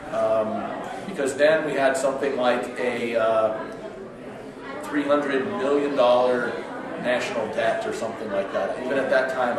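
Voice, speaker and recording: A man in his fifties from Northern California, hoarse with a cold, giving an earnest campaign speech in a restaurant. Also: mid-range voice. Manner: earnest, steady, heavy with figures. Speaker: political candidate. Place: restaurant function room. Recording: echoey room from far off, crowd murmur. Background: crowd chatter.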